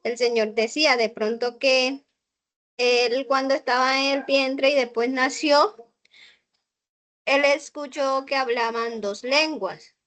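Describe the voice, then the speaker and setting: Young Colombian woman student, slow and halting, higher voice, video call. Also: steady tone, fairly bright tone. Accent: Colombian Spanish. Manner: halting, many fillers and restarts. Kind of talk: hesitant class answer.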